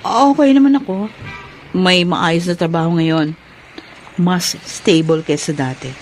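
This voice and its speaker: A woman's voice: fairly low pitch, slow pace, slight background noise. An actress playing a guarded but good-natured young career woman in a radio drama. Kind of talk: Filipino radio drama acting.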